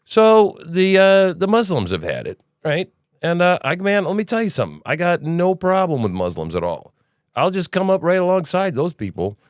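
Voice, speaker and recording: Guy doing an opinionated news podcast, smooth clear voice, really animated, rambly and sarcastic, dry sound. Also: sits high, moderate pace, no room sound. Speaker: podcast host. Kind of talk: casual conservative news commentary.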